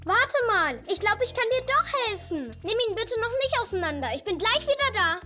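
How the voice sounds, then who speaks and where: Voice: extremely high pitch, very animated, a little fast, pushed and bright, soft background music, full character voice. A performer voicing an eager, proud young zebra boy inventor in a children's cartoon. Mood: eager, helpful. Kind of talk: dubbed children's cartoon acting.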